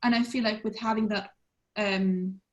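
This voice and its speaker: A woman from Austria speaks on an online student panel in a voice of middling pitch. She builds her points carefully, often hedging with "I think". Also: steady tone, moderate pace, lo-fi sound.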